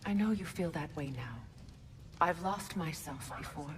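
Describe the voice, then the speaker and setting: An actress playing a proud, resolute warrior goddess in a video game, earnest and deliberate. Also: female voice, lowish voice, close to an everyday voice. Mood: earnest, encouraging. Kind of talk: dramatic video game acting.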